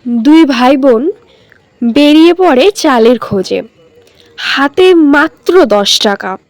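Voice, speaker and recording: A woman's voice: sits high, lively intonation, takes it slowly, dry recording. A woman narrating a scripted cartoon story as storyteller.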